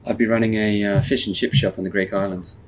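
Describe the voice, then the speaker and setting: A man's voice: lowish voice, flat delivery, fast talker, a little hiss or hum, rough recording. A man, a guest performer chatting casually and swearing freely on a podcast recorded outdoors on a riverboat. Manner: swearing, laid-back, chatty.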